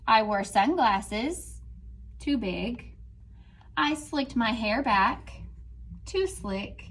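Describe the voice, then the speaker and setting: Woman teacher, read-aloud storytime, slow and animated delivery. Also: a little high, slightly rough. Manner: slow, expressive storybook reading to children.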